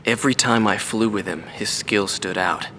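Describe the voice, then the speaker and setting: A man playing a weary, reflective veteran mercenary pilot in a video game, recalling the past in a measured, wistful interview monologue. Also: fairly low pitch, noisy background, close to an everyday voice. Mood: quiet admiration. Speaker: former mercenary fighter pilot being interviewed.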